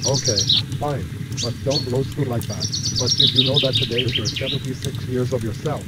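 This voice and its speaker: Gruff rude express engine, a young man doing it, low and sulky, over heavy noise. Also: fairly even intonation, brisk, lightly acted. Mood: grumpy. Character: gruff big male blue express engine. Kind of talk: fan-made train game animation.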